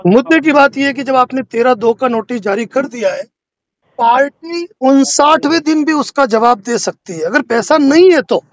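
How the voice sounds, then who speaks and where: A man's voice: very high voice, expressive, moderate pace. A man speaking as a lawyer on a phone call, explaining a loan matter persuasively and insistently. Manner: persuasive, insistent, repeatedly asking to be heard. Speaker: lawyer advising on a loan default. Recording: dull lo-fi phone call recording.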